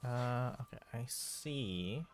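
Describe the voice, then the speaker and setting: A man's voice: medium pitch, takes it slowly, soft background music. A man streaming a video game, giving casual commentary and thinking aloud as he plays.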